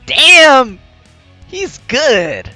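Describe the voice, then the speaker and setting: A man playing a visual novel, reading the text aloud with excitable, joking reactions and the odd stumble, over music. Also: very high voice, very slow, no echo. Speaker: let's player. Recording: music behind the voice.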